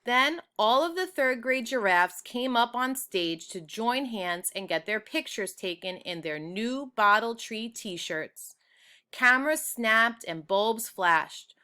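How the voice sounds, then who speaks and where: A woman's voice: fairly high pitch, expressive, takes it slowly, sharp tone, smooth. A woman reading a children's storybook aloud expressively, as if showing it to young listeners. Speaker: read-aloud narrator for children.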